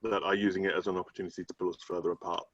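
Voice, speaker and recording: Low-voiced British man, dull call audio. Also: little pitch movement, very fast, fairly bright tone. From United Kingdom. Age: middle-aged. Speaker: coach, interview guest. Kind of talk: casual video-call interview.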